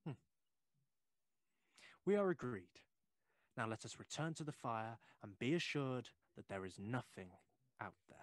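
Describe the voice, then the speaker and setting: Man playing a swooping, concerned young gentleman. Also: medium pitch, unhurried, pauses often, no echo, lightly acted. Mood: reassuring. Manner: formal, reassuring, urging calm. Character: young, clear-minded gentleman visitor, concerned and reasoning. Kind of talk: Victorian ghost story audio drama.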